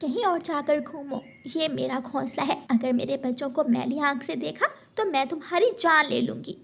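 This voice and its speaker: Woman as mother bird, cartoon, high pitch, motherly, earnest, protective, worried. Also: normal speed, creaky, a little hiss or hum. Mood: scolding. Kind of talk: children's cartoon voice acting.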